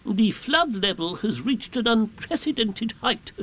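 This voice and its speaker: A man voicing a pompous, long-winded owl in a children's story, with stately, drawn-out delivery. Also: sits high, sing-song, fairly bright tone, voice pushed up, full character voice. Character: pompous, long-winded owl who loves big words.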